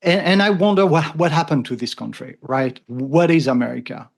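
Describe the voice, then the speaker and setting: A man's voice: a little high, moderate pace, vocal fry. A man in his fifties from France with a thick French accent, a podcast guest talking earnestly and thoughtfully. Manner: earnest, thoughtful, frequent restarts. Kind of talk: casual conversation.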